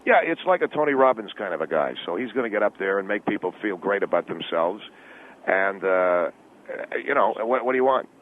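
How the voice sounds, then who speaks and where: A man plays a brash, money-minded middle-aged father defending himself on a radio comedy call-in. He argues haltingly and keeps justifying himself. The voice comes through a thin phone line with faint noise. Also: on the low side, animated, pitched below the performer's own voice, natural voice. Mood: defensive. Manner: argumentative, halting, self-justifying. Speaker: radio show guest.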